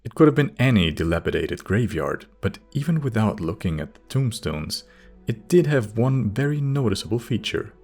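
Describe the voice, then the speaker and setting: A man reads a fantasy audiobook as its narrator. His voice is deep, delivering scripted descriptive prose. Light music runs behind him. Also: big pitch swings, normal speed, a little mellow.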